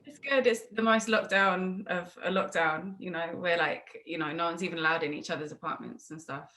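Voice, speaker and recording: A woman with a British accent speaks in a fairly low register. She hosts a relaxed interview in a chatty, informal way, encouraging her guest as she asks questions. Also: brisk. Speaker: interviewer and host. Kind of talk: casual interview chat. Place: remote video call.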